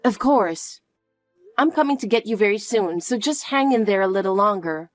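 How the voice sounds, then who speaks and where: A woman playing a calm, protective mother who speaks softly and reassuringly to her daughter. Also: mid-range voice, dry recording, soft background music, natural voice. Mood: soothing. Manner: soft, slow, reassuring. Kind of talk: dramatic dialogue.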